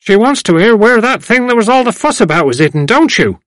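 Reader doing bossy old gent, male, high excitable pitch, impatient, keeps repeating, storybook. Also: a little fast, no echo, studio quality. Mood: impatient, insistent. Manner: insistent, repetitive. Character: impatient elderly gentleman, bossy on a guest's behalf.

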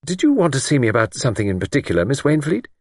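A man's voice: medium pitch, huge pitch swings, brisk, slightly rough, dry recording, clean studio sound, voice pushed up, heavily acted. A man voicing a forthright, self-assured young woman in an audiobook, short and matter-of-fact. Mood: brisk politeness. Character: brisk, forthright young woman, a little exasperated. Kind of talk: audiobook character acting.

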